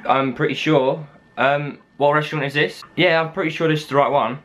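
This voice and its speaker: A man's voice: a little high, steady tone, brisk, fairly bright tone. A young man, chatting casually in a hesitant, rambling, slangy way. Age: young adult. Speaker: prank caller, video host. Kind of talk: casual improvised chat. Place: small room at home.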